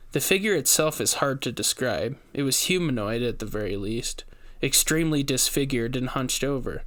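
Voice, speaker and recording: A male narrator tells a gothic tale in the first person. His voice sits at a middle pitch, and his scripted delivery is measured and brooding. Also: fairly bright tone.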